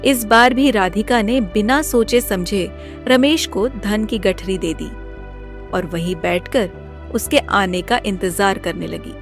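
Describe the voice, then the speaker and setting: A woman's voice: mid-range voice, slow pace, soft background music. A woman narrating a scripted moral story as a storybook narrator.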